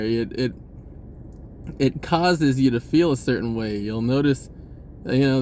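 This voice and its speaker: A man's voice: fairly high pitch, darkish tone, a little hiss or hum. A man giving a casual, rambling spiritual talk, restarting his sentences and chuckling now and then. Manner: rambling, laid-back, with frequent restarts and chuckles. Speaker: spiritual vlogger.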